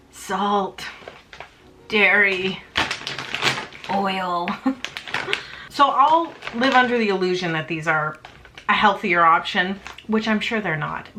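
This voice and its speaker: A woman's voice: mid-range voice, sharp tone, a little hiss or hum. A young woman, chatting in a casual home vlog about her snacks, bubbly and self-mocking, often laughing. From Canada. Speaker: vlogger.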